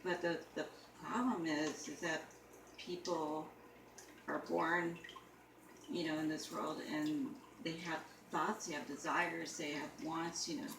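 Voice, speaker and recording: A woman with a low, gravelly voice talks casually and haltingly, filling gaps with "you know". She sounds far from the mic in an echoey room, with clear background noise. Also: flat delivery. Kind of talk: casual conversation.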